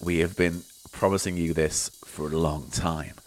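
A man's voice: low-pitched, fairly even intonation, moderate pace. A middle-aged man, a little tipsy, co-hosting a bawdy podcast chat in a giggly, crude, teasing way. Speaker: podcast co-host.

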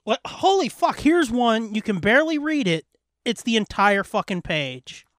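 A man's voice: sits high, big pitch swings, moderate pace, fairly bright tone, no room sound. A man co-hosting a casual comedy podcast, bantering and swearing freely. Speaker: podcast co-host. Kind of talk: casual, profane podcast banter and joke reading.

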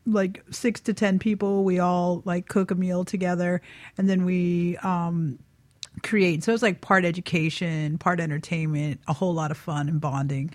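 A woman's voice: fairly low pitch, steady tone, normal speed, dry recording. A woman chatting casually and informally as a chef guest on a talk show. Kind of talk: casual interview chat.

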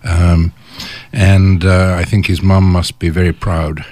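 A man's voice: deep voice, level tone, unhurried, a little mellow, dry recording. An older man from England with an English accent, a guest on a radio talk show, telling stories about his career with a chatty, hesitant manner. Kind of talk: radio interview. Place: radio studio.

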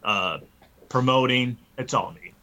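A man's voice: medium pitch, measured pace, sharp tone. A man of fifty-one speaking casually and earnestly as an interview guest, feeling his way through his phrases. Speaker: interview guest, metal band musician.

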